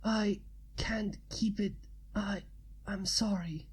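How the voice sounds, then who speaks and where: A woman voicing a wounded male mage, a polite, weary stranger who speaks weakly and haltingly, for a fantasy storybook. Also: mid-range voice, fairly even intonation, a little mellow, breathy edge. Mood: pained, fading. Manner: weak, halting, courteous.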